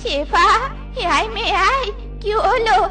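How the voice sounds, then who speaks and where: A woman's voice: very high-pitched, lively intonation, measured pace, sharp tone, full character voice. A woman voicing an anxious mother fox in a comic cartoon, pleading and melodramatic. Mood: fretful. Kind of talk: exaggerated comic cartoon acting. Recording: music under the voice.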